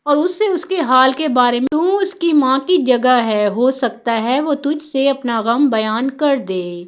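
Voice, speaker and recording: A woman voicing a shrewd, patient male master of a household in a told story, measured and persuasive. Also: sits high, natural voice. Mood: calculating.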